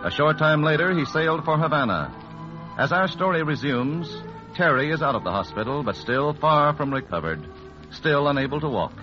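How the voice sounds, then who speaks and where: Animated radio drama announcer, man. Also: a little high, moderate pace. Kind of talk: old-time radio drama narration. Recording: muffled old radio recording. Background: music.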